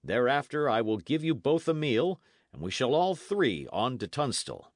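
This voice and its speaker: Man playing a commanding, animated old-time knight. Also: mid-range voice, normal speed, dry recording, studio quality, pitched above the performer's own voice, natural voice. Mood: hearty, confiding. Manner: archaic, oath-swearing, declamatory speech. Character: forceful, vengeful old-time English knight, boastful and commanding.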